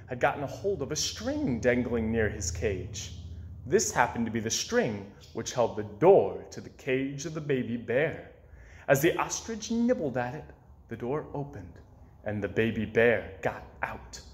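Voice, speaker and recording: A man, reading a children's story aloud with dramatic emphasis. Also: medium pitch. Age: 20s. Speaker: storybook reader. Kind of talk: expressive children's read-aloud narration. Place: small indoor room with bookshelves. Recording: roomy indoor echo.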